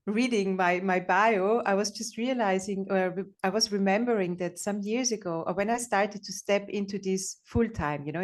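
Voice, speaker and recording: A woman's voice: mid-range voice, a little mellow. A woman from Austria with an Austrian accent, a native German speaker, chatting casually as a guest and searching for words as she tells her story. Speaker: guest, animal communicator.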